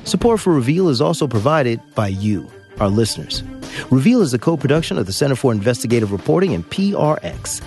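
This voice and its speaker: Lively man reading show credits over music. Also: medium pitch, a little fast. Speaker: podcast host. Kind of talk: scripted closing credits.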